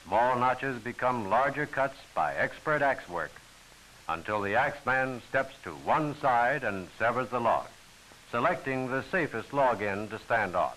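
A man's voice: medium pitch, animated, unhurried, fairly bright tone, a little hiss or hum, rough recording. A man giving formal, instructional scripted narration for a training film, on an old film soundtrack.

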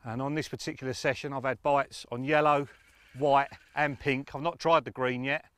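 A middle-aged man presents angling tips to camera from a lakeside bank. His voice is mid-pitched and he explains things informally and plainly. The sound is dry, with no room echo. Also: fairly even intonation, moderate pace, a bit bright, smooth. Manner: matter-of-fact, explanatory.

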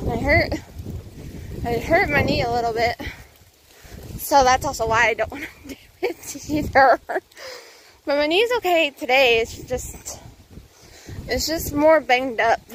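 Young woman, high voice, slow unhurried chat, walking outdoors with a phone held out, faint outdoor hiss. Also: a bit bright, low-quality recording. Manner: chatty, rambling, a bit out of breath from walking. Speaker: vlogger. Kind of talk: casual walking vlog. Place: outdoors on a suburban path. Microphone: phone held at arm's length.

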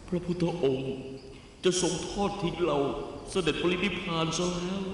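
A grieving monk disciple acted by a man laments in an animated film. The voice is high and plaintive, drenched in long cavernous reverb and set away from the mic. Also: moderate pace, lightly acted. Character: Ananda, devoted, grief-stricken male monk disciple. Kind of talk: scripted animated film dialogue. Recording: cavernous distant reverb, faint noise.

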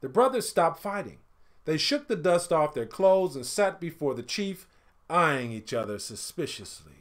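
A middle-aged man reading a picture book aloud as an expressive storyteller, with deliberate, emphatic phrasing that falls away at phrase ends. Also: on the high side. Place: indoors, small home studio room.

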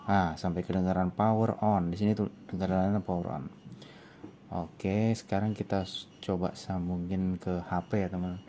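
A man giving a casual product review, talking informally and haltingly as he thinks aloud. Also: low voice, flat delivery, warm tone. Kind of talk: casual unboxing and review chat. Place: indoor room with tiled desk. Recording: clearly noisy room recording.

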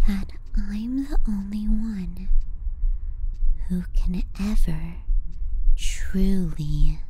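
A woman playing a possessive, manipulative young younger sister in an intimate ASMR roleplay, soft and breathy, murmuring and slipping into whispers. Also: mid-range voice, very slow, full character voice, low-quality recording. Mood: smug, possessive.

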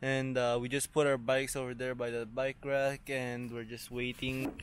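A man's voice: mid-range voice, level tone, moderate pace, clear, resonant tone. A young man chatting casually to his camera as a family vlogger, outdoors at a campground. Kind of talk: casual vlog chat.

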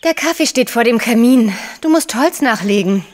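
An actress playing a frank, self-assured, caring but blunt journalist in a radio play, speaking informally and directly. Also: female voice, on the high side, lively intonation, normal speed, a little mellow, close to an everyday voice. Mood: direct, probing.

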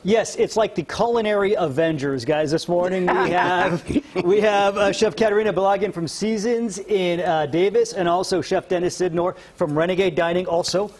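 A man's voice: high-pitched, normal speed, few pauses. A man hosting a live morning TV cooking segment in a studio kitchen, friendly and upbeat as he chats and tastes food. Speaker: TV morning show host. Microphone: lapel mic.

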